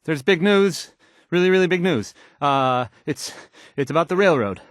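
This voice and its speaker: Nervous young game radio DJ, acted by a man: higher male voice, very animated pitch, hesitant, trailing off, close dry studio sound. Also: dry recording, lightly acted. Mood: flustered. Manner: halting, stammering, hesitant, trailing off. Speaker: radio news announcer. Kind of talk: bumbling radio news report in a video game.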